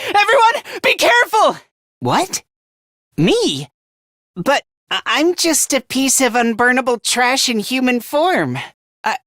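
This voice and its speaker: A self-loathing young student fighter from a video game, with a very high, timid voice. He speaks slowly and hesitantly, stumbling over first words and belittling himself, and his pitch swings widely. The sound is close and dry. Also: a bit bright, dry recording, full character voice. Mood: glum, self-loathing. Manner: hesitant, stammering, self-deprecating. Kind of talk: English video game voice acting.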